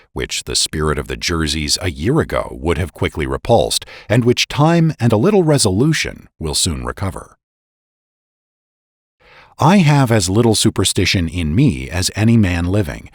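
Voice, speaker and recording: A man's voice: deep voice, dry recording, clean studio sound. A man reading scripted historical narration in a solemn, oratorical way with emphatic phrasing. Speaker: podcast narrator and reader.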